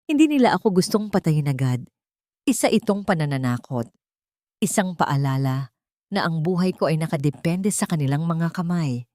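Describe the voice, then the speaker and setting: A woman's voice: deep voice, expressive, moderate pace, mellow, dry recording, studio quality. A woman narrating a scripted first-person dramatic audiobook in a solemn, suspenseful manner.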